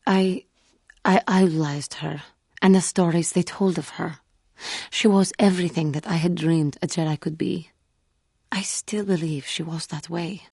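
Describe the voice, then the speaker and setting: Casting: female alien Jedi warrior for a game, earnest and wounded, low mellow voice with a husky grain, formal and measured. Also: no room sound, clean studio sound. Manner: formal, measured, grave phrasing with few contractions. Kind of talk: video game character dialogue.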